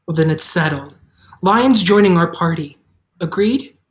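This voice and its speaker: Farm girl, female actor: sensible, plucky, lower-pitched, straight-talking, children's fantasy table read. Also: animated, unhurried. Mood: decisive. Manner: frank, decisive.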